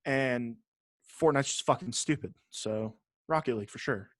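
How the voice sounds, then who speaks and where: A man's voice: medium pitch, normal speed, a bit bright, no room sound, studio quality. A man co-hosting a podcast in casual chat, talking bluntly and swearing freely. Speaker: podcast co-host.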